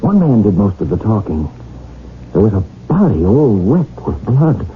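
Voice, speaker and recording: Anxious young male lead, halting and insistent, widely swinging intonation, old muffled radio-drama broadcast. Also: lowish voice, sing-song, a little hiss or hum. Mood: confused, insistent. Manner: agitated, halting, pleading. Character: anxious, insistent young man, accident victim, recently blind. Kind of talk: 1970s radio drama acting.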